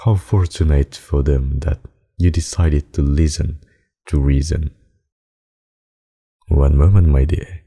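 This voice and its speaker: Man playing a menacing courtly male vampire, very dark soft timbre, slow seductive delivery, formal and teasing, ASMR roleplay. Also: extremely low pitch, steady tone, husky, full character voice. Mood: courtly, insistent. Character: suave, possessive, menacing male vampire, darkly courting his captive. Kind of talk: ASMR roleplay, dramatic monologue.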